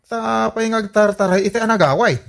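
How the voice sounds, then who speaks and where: A man's voice: high-pitched, moderate pace, vocal fry, fairly bright tone. A man voicing a gossiping friend who hurries in with urgent news. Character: gossiping male friend of the husband. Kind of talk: comedy radio drama acting.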